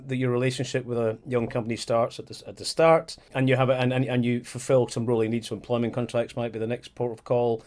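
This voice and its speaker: A man co-hosting a podcast, asking long questions in a halting way and repeating words as he goes. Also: mid-range voice, level tone, darkish tone. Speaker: podcast co-host. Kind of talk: casual interview chat.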